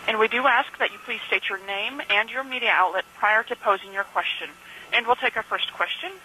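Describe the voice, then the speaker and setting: A woman's voice: on the high side, brisk. A woman working as a conference call operator, reading scripted instructions to callers. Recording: faintly noisy telephone line.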